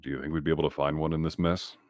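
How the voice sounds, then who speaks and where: A man's voice: very deep voice, level tone, very fast, dry recording, clean studio sound. A man playing a tabletop game, talking casually and wryly with the other players.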